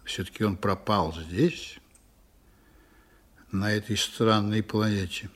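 A man's voice: on the low side, fairly even intonation, slow, a bit bright. An actor playing a stern, sardonic male starship commander in a science-fiction audio drama. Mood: weighty, brooding.

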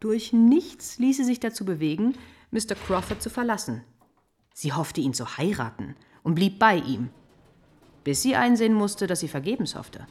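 Actress as female period storyteller, radio drama, very lively intonation, medium pitch, emphatic, articulate. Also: moderate pace, slight background noise, lightly acted. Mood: dramatic, grave. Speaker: narrator. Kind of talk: literary audio drama narration.

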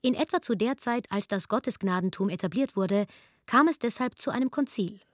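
A woman's voice: medium pitch, a little fast, dry recording, studio quality, natural voice. A woman playing an ironic, matter-of-fact narrator, delivering scripted satire.

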